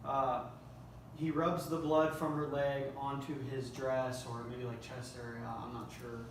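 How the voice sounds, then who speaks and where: A man directing a rehearsal, reading screenplay action lines with deliberate, dramatic phrasing and coaching the actors. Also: mid-range voice, flat delivery. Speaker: director running a table read. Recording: echoey room, distant mic, faint noise.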